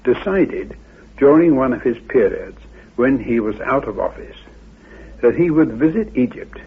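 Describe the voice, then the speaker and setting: A man giving an explanatory historical account as an expert, in measured, erudite phrasing. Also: mid-range voice, animated, vocal fry, slightly rough. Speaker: expert on Atlantis. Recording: narrow phone-like old radio audio.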